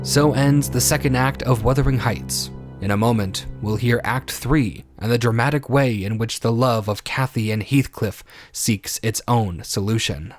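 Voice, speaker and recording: A man's voice: mid-range voice, normal speed. Male radio drama announcer over music. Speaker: announcer and narrator. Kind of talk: scripted old-time radio announcing and narration.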